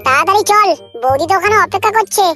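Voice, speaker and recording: A man with a West Bengal accent voicing a cheeky, boozy young village man in a crude comedy cartoon, bantering in slang through a pitch-raising effect. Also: expressive, racing, full character voice. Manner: bantering, foul-mouthed. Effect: pitch-raised cartoon voice. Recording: loud music over the voice.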